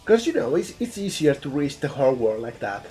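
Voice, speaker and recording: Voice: medium pitch, big pitch swings, fairly quick, dark tone, faint music behind. A speaker from Spain with an Andalusian accent and Spanish as a first language, chatting casually on a live stream while working and thinking aloud, often repeating words. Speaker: game developer streaming his art.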